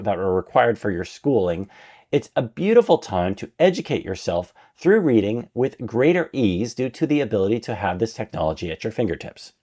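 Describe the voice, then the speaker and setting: Lively low-voiced male essay narrator. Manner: refers to himself as "we". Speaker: podcast narrator. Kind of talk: reading a prepared essay aloud.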